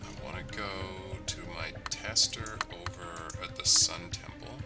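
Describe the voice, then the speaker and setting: A man giving casual commentary as he codes on a livestream, thinking aloud in halting phrases. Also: deep voice, loud music behind the voice. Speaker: game developer, livestream host. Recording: clearly noisy, distant mic, keyboard clicking. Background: typing, mouse clicks, steady noise.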